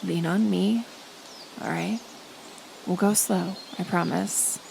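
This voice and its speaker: Woman acting a slow-spoken, gentle healer woman. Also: mid-range voice, gravelly, noisy background. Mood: gentle, reassuring. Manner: gentle, soothing, hushed and halting, often slipping into whispers. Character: kind, stubbornly determined woman healer in a secluded cottage. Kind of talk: intimate ASMR roleplay acting.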